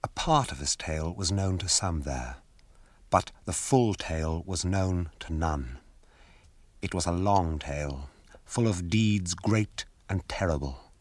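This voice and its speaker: A man narrating a radio drama in an unhurried, literary storytelling style. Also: deep voice, animated, no echo.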